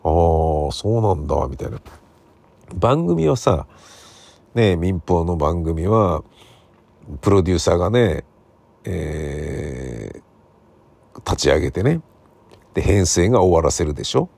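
A middle-aged man with a low, creaky voice and a husky edge chats alone as a podcast host. He rambles conversationally, softly checking in with the listener as he goes. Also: sing-song, slow pace, long pauses, no echo. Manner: rambling, conversational, seeking agreement with soft sentence endings. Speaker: podcast host and radio drama writer. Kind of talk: casual solo podcast monologue. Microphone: smartphone recording.

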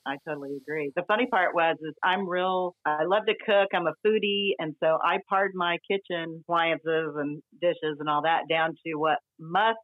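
A man who is a podcast guest chats casually in a fairly high voice and laughs easily. His audio comes through slightly dull and muffled. The sound is dry with no room around it. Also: steady tone, normal speed, few pauses, dry recording. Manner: cheerful, laughs often.

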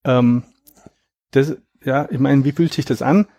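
A man in his thirties, a guest in an interview, explaining at length in a reflective, measured way with a gravelly, husky voice. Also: medium pitch, mellow, no room sound, studio quality. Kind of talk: conversational interview.

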